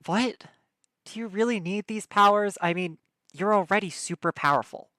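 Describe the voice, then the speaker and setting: A woman's voice: mid-range voice, normal speed, a bit bright, no room sound, clean studio sound, natural voice. A woman playing a polite, awkward young apartment dweller in an audio drama, with a hesitant, natural delivery. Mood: apologetic.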